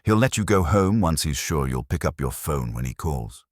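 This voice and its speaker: A man voicing a wisecracking, steady detective's assistant with a breezy, direct manner in an audiobook. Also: a bass voice, moderate pace, no room sound, studio quality. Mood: reassuring, direct.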